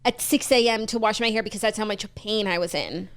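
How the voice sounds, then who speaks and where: A woman co-hosting a casual morning talk show, coming down with a cold and wryly grumbling about a rough morning. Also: medium pitch, brisk, fairly bright tone. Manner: chatty, grumpy and wry about a rough morning. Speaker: podcast co-host. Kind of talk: casual chat.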